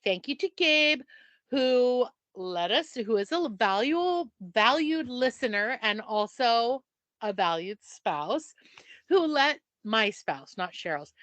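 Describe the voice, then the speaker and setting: A middle-aged woman co-hosts a casual podcast, giggling and poking fun at herself. Her voice is bright and a touch high. The sound is dry and close. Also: no room sound. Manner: chatty, giggly, self-deprecating. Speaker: podcast co-host. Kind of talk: casual chat.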